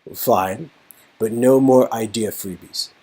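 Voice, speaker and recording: A man's voice: medium pitch, slow pace, mellow, slightly rough, pitched above the performer's own voice. A man acting out an earnest, teasing young man in a romantic game story, encouraging and emphatic. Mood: playful. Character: earnest, teasing young man comforting his love interest.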